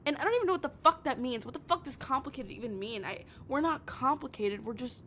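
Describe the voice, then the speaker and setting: A heartbroken, obsessive young woman, acted out by a woman in an intimate audio roleplay, with a fairly high voice. She stammers and repeats herself, raw with emotion, as she pleads with a partner. The recording has some noise and low rumble under it. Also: lively intonation, fast, fairly bright tone. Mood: hurt, bitter.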